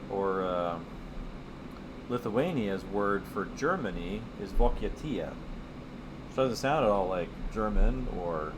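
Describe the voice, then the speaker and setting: Man, very deep voice, casual art teacher, digressive chat, air conditioner noise in background. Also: lively intonation, slow pace. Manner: chatty and digressive, with many fillers. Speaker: art teacher streaming live. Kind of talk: casual rambling chat while drawing.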